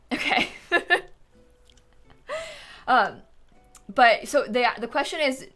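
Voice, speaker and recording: A young woman streamer from Central Florida, with an American accent that leans Midwestern. Her voice is bright and forward, at a middle pitch for a woman. She chats loosely with viewers, filling her sentences with "like" and "um" and laughing often. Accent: American, Midwestern-leaning. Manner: chatty, rambling, full of "like" and "um", laughs often. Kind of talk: casual livestream chat answering viewers.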